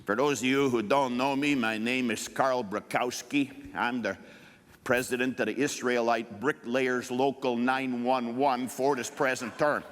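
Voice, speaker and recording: Actor as a middle-aged union boss, pompous, mangles big words, reverberant hall. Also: male voice, mid-range voice, steady tone, unhurried, a bit bright, voice pushed down, natural voice. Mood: blustery.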